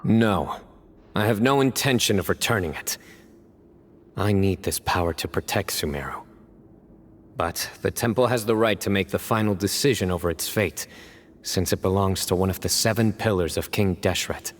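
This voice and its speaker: An actor playing a stern young male desert warrior, calm, measured and resolute. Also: sits low, a little hiss or hum, natural voice. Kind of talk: game cutscene voice acting. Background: faint game music.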